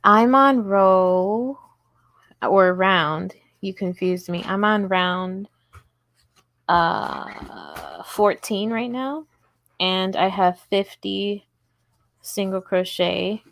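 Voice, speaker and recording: Woman, unhurried, chatty and giggly, dry close sound. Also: mid-range voice, gravelly, a bit bright, dry recording. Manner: chatty, giggly, talks to chat while working. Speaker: crochet livestream host. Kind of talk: casual livestream crochet tutorial. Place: indoors at home table.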